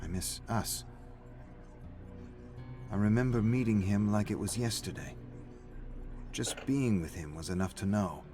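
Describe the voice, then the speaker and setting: A man's voice: on the low side, unhurried, pauses often, warm tone. A man reading a story aloud as a scripted, dramatic narrator. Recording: music playing behind the voice. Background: faint background music.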